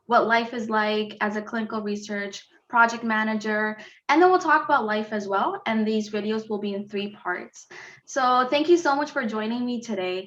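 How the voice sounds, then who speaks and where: Female interview host, slightly high. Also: a bit bright, lo-fi sound. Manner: short back-channel replies. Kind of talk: friendly interview questions.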